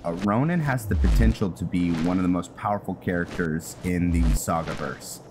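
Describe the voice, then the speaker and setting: A man giving casual game commentary as he plays, thinking aloud in a halting way. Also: low-pitched, background noise. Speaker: gaming video host. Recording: loud game music behind the voice. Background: loud video game music.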